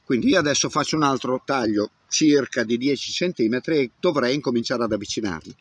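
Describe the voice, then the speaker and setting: A man in his fifties with a mid-pitched voice gives a casual hands-on demonstration in an open field. He talks himself through each step in a muttering way, like someone busy working. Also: a little fast, husky, no room sound. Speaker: amateur radio operator. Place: open grassy field outdoors. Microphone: camera at about arm's length.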